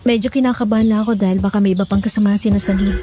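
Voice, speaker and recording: A woman's voice: medium pitch, steady tone, very fast, dark tone, lightly acted. A woman playing an anxious, modest young village woman in a horror audio drama, hesitant and worried.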